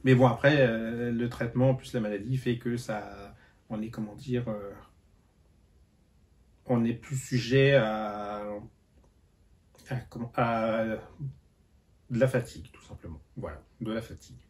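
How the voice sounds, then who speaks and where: A man's voice: medium pitch, fairly even intonation, a little slow, long pauses, a little mellow. A tired man chatting casually in a book vlog, hesitant and full of fillers and restarts. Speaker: book vlogger. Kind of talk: casual reading-update vlog.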